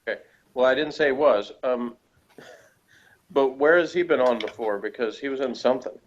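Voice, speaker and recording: A man's voice: mid-range voice, moderate pace, lo-fi sound, a little hiss or hum. A man co-hosting in casual conversation over an online call, plain-spoken and insistent about his point.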